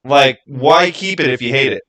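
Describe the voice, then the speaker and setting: Bright-voiced man chatting casually on stream. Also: medium pitch, poor audio. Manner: informal, opinionated, halting and repetitive. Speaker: livestream host. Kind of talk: casual livestream chat answering viewer comments.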